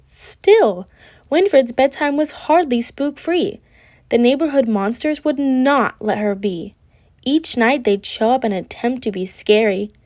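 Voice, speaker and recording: A woman reading a children's picture book aloud with an expressive, deliberate delivery. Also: on the high side. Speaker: storybook reader.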